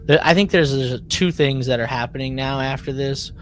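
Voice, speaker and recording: A man co-hosting a podcast in a casual recap style, haltingly repeating and rewording his phrases. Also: medium pitch, slight background noise. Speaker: podcast co-host.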